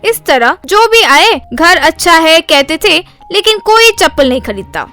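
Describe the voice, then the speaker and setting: A woman's voice: extremely high pitch, sing-song, measured pace, bright, forceful tone, soft background music. A woman narrating a scripted comic village story.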